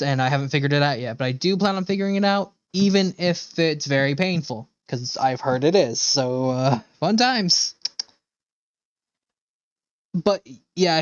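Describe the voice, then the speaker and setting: A man's voice: fairly high pitch, dry recording, lo-fi sound. A man casually chatting with viewers as a game livestreamer, rambling and swearing freely. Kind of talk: casual chat with viewers while playing.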